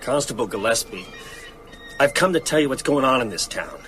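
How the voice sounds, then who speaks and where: A man's voice: medium pitch, expressive, fairly quick, fairly bright tone, faint music behind, lightly acted. An actor playing an urgent, determined man hunting a vampire in a radio drama. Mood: insistent. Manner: urgent, questioning.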